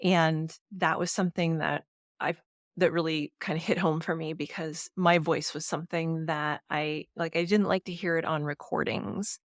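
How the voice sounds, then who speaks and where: A woman with a naturally slightly low voice, co-hosting a casual book-discussion podcast and talking thoughtfully with many fillers. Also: fairly even intonation, moderate pace, no room sound, studio quality. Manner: thoughtful, with frequent "you know" and "um". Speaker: podcast co-host.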